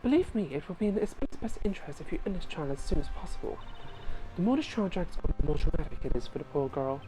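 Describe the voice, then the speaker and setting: A woman's voice: low-pitched, sing-song, fast, background noise. A woman voicing a stern female headmistress who talks in a clipped, commanding way. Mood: cold.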